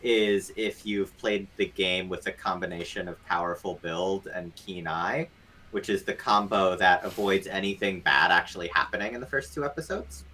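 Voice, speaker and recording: A man with a low voice and a bright, forceful edge. He talks casually as an interview guest, laying out detailed, analytical explanations. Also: normal speed, slight background noise. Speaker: guest, game developer.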